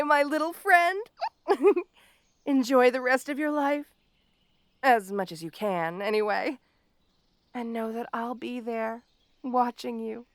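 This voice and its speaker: Petty supervillainess, theatrical, teasing, mock-sweet, unhurried, close dry sound, audio roleplay monologue. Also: female voice, on the high side, dry recording. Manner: taunting, mock-sweet, drawn-out teasing delivery. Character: gloating, petty, obsessive female supervillain with super speed.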